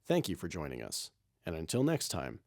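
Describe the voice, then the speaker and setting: Guy announcer reading credits, lowish voice that moves around a lot, scripted delivery. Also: wildly swooping pitch, moderate pace, a little mellow. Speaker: series announcer.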